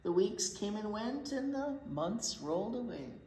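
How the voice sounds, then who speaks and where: A woman reading a picture book aloud to young children as a storytime teacher, expressively, with deliberate pauses and little asides. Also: mid-range voice, very animated, warm tone. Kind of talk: animated picture book read-aloud for preschoolers. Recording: roomy echo, faint background noise.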